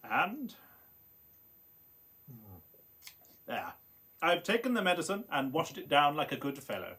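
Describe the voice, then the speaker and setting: An actor playing an intense, brooding young gentleman scientist in a radio play, with a theatrical, hesitant delivery. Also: fairly high pitch, wildly swooping pitch, normal speed, husky, heavily acted. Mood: amiable.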